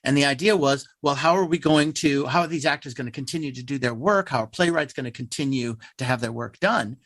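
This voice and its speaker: A man's voice: medium pitch, a little fast, a bit bright, dry recording, studio quality. A man speaking conversationally as a theater guest in an interview, telling things like a storyteller.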